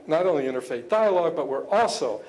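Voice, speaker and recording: An older man giving a public speech to an interfaith gathering. He talks earnestly and haltingly, often repeating words as he searches for the next phrase. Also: a little high, lively intonation, a little mellow. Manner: earnest, measured. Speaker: government official speaking at an interfaith event. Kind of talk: public speech with prepared remarks.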